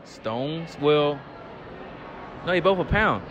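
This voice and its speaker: A man's voice: on the high side, animated, takes it slowly, lo-fi sound, background noise. Hesitant young man in a mall. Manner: hesitant, second-guesses himself. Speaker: interviewee. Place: indoor shopping mall.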